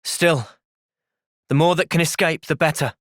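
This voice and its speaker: A man with a British accent playing a thoughtful, gentle young soldier in video game cutscenes, measured and earnest. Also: a little high, no echo, studio quality, lightly acted. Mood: calm, earnest.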